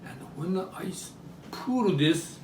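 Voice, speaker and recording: Male demonstrator, Japanese accent, higher-pitched and lively intonation, frequent questions to audience, roomy distant-mic sound. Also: takes it slowly, slight background noise. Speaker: presenter demonstrating traditional toys. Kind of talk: live show-and-tell demonstration.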